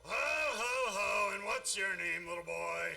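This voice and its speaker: A man playing a department store Santa in a radio play, booming and theatrical, with weary impatience underneath. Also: expressive, unhurried, creaky, bright, forceful tone, recorded from a distance, full character voice. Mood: booming, jolly. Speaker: actor. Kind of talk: live radio play acting.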